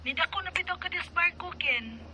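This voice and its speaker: A man voicing a worried wife calling from home, scolding gently about his eating. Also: very high-pitched, animated, brisk, loud music behind the voice, pitched above the performer's own voice.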